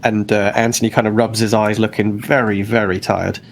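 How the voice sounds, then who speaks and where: A man's voice: lowish voice, level tone, moderate pace, creaky, a little hiss or hum. A man playing a tabletop roleplaying game, casually narrating what his character does.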